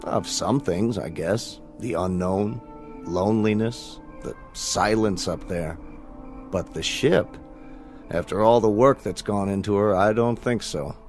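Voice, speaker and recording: An actor playing a confident, reflective astronaut in a radio drama, musing in an assured way. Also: male voice, lowish voice, unhurried, darkish tone, soft background music. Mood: calm, assured. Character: confident, reflective male astronaut on eve of launch.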